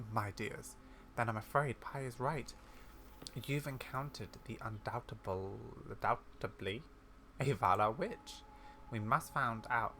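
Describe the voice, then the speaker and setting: A man's voice: mid-range voice, vocal fry, bright, forceful tone, slight background noise. A man reading a game's quest dialogue aloud in a storytelling manner, acting out the characters' lines. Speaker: gaming YouTuber.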